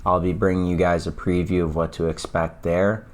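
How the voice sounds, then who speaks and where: Low-voiced hoarse man vlogging. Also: flat delivery, moderate pace. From Atlanta, US. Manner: enthusiastic, repeats words for emphasis. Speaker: esports news vlogger. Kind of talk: casual vlog talk to camera.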